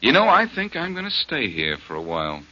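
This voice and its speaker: A man's voice: mid-range voice, huge pitch swings, fairly quick, very noisy. An actor playing a tough, sardonic male detective who threatens and wisecracks, in 1940s radio drama style. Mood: menacing. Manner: menacing, sardonic. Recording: dull old radio broadcast.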